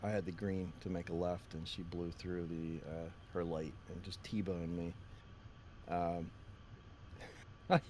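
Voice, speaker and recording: Deep-voiced man telling stories. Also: flat delivery, takes it slowly, long pauses, darkish tone, slight background noise. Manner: rambling storyteller, laughs often. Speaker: podcast co-host. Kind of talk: casual chat.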